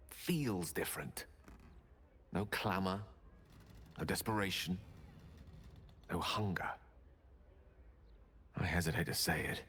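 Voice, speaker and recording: Soft, low-voiced eloquent wizard. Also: male voice, full character voice. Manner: eloquent, breathy, hesitant, softly spoken. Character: brilliant, tender, wordy male wizard in love. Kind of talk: intimate dramatic video game acting.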